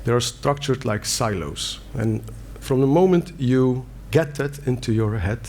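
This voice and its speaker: Brief: middle-aged Belgian man, Dutch-accented, mid-pitched, earnest conference speaker, slight hiss behind. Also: unhurried, a little mellow. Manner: earnest, plain wording with fillers and restarts. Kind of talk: conference talk. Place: conference hall.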